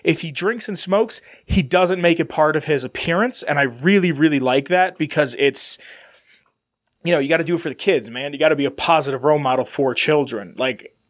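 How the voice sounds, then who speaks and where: A man's voice: fairly high pitch, a little fast, a bit bright. Rambling congested man hosting a podcast. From United States. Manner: rambling, chatty, many fillers and restarts. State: congested with a sinus infection. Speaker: podcast host. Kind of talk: casual solo chat.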